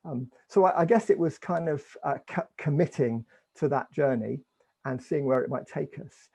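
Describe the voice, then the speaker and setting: English pastor guy on a panel, gravelly and a bit husky, lots of ums and restarts. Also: fairly high pitch, moderate pace, dark tone. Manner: hesitant, many fillers and restarts. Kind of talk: panel discussion answer.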